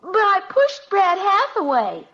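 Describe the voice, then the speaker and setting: High, bright, stubborn little girl. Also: huge pitch swings, unhurried, heavily acted. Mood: proud, eager. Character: earnest, stubborn little girl, youngest daughter. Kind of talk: 1950s radio sitcom acting. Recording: dull old radio recording.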